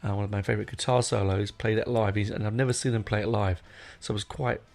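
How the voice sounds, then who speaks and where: Middle-aged man, gravelly, rambling podcast host. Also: lowish voice, steady tone, fast talker, darkish tone. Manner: rambling, digressive, self-correcting. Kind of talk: casual unscripted solo podcast chat.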